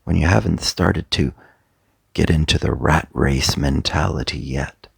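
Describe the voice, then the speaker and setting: A man with an extremely low, creaky voice leads a guided meditation as a coach. He speaks slowly and deliberately, leaving long pauses between short phrases. The gravelly, husky sound is captured close and dry. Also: no room sound. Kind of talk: guided meditation, soft motivational talk.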